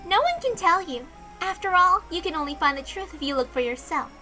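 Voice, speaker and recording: A woman voicing a calm, aloof female witch who speaks softly and measuredly. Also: extremely high pitch, faint music behind, full character voice. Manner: soft, measured, quietly lecturing.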